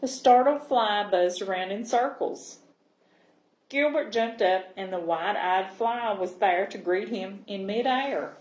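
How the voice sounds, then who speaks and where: Female children's storyteller, unhurried and expressive, mid-range voice in a reverberant room. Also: medium pitch. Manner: expressive, pausing to check understanding with listeners. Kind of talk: storybook read-aloud for young children. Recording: roomy, echoing sound.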